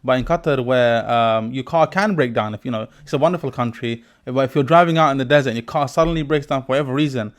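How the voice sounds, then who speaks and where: A man's voice: mid-range voice, quick pace, few pauses. A man hosting a podcast, reading a sponsor message and then talking through his thoughts in conversation. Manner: reflective, thoughtful conversational delivery. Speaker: podcast host and musician. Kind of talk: podcast interview and sponsor read.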